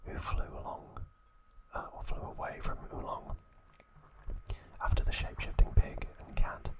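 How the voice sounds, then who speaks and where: A man narrating scripted trivia as an ASMR host in a soft, breathy, unhurried whisper.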